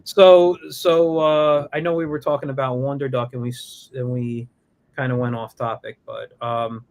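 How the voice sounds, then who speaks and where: A man hosting a casual interview over a livestream, friendly and halting, often repeating words as he starts sentences. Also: mid-range voice, level tone, darkish tone. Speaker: host. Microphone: remote livestream call.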